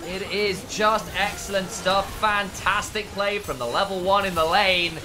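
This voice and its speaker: A man co-casting a live esports match, calling fights rapidly and building to dramatic finishes. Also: high-pitched, fairly even intonation, a crowd in the background. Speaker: esports co-caster. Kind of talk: play-by-play commentary.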